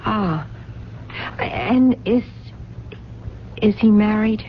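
An actress playing a stubborn, lovestruck woman in a 1970s radio drama, halting yet insistent. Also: medium pitch, expressive. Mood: probing, surprised. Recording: muffled, noisy 1970s radio recording.

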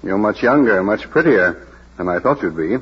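A formal, patronizing lawyer in a vintage radio drama. His voice is rough and husky, with lively rises and falls. The sound is muffled like an old broadcast. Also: fairly low pitch, moderate pace. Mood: suave. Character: smooth, condescending male lawyer. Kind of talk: 1940s radio drama acting.

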